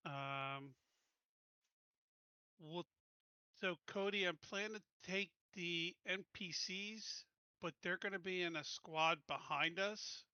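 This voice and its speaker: A man's voice: sits high, slow pace, long pauses, bright, forceful tone, clear, resonant tone, no room sound, highs cut off. A man playing a tabletop roleplaying game, casually talking through plans and questions. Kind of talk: casual game planning talk.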